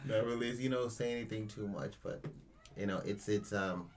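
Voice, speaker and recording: A man's voice: fairly low pitch, normal speed. A young man from Cameroon, a guest on an interview show, telling a story in a loose, rambling way, often with "you know" and "right?". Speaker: interview guest, media creator. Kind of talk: casual storytelling chat.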